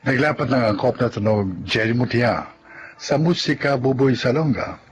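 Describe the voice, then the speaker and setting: A man's voice: mid-range voice, moderate pace, background noise. A man announcing in a scripted style, as for the closing of a radio drama. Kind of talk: scripted drama narration and credits. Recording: music behind.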